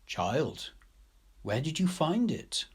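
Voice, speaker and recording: Man reading as a kind, husky hermit painter. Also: fairly high pitch, big pitch swings, slow, pitched above the performer's own voice, exaggerated voice. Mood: kind, concerned. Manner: gentle, reassuring. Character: kind, gentle hunchbacked male recluse painter, softly authoritative.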